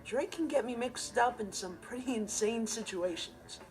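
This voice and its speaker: A man's voice: very high voice, moderate pace, a little mellow. A young male actor playing an earnest, responsible, easily exasperated teenage stepbrother, telling stories with emphatic comic timing in a TV sitcom. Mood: indignant storytelling. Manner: emphatic, theatrical comic delivery. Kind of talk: scripted comic monologue in a TV sitcom. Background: music and laughter.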